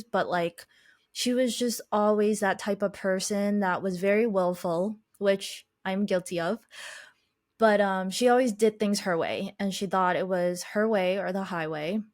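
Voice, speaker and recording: A woman guest telling her life story in an interview, reflective and unhurried, whose first language is Chinese. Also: mid-range voice, dry recording, clean studio sound. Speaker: podcast guest, life coach.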